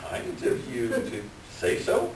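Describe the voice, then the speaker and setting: A man acting a glib, evasive con man posing as a doctor in a stage reading, halting as he smooth-talks. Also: lowish voice, huge pitch swings, exaggerated voice. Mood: pleading. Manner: hesitant, halting, smooth-talking. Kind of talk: staged readers' theater acting. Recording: echoey room, distant mic, faint noise.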